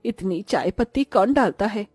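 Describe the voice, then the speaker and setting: A woman's voice: mid-range voice, animated, moderate pace, a little mellow, husky, dry recording, pitched below the performer's own voice. A woman voicing a rude, complaining village auntie in a cartoon story, exclaiming and mocking. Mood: disgusted.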